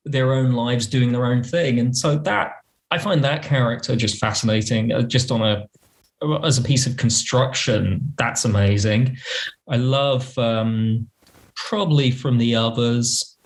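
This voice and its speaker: Thoughtful, halting man chatting as a guest. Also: medium pitch. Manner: thoughtful, halting, rephrases as he goes. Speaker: podcast guest. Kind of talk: casual interview chat.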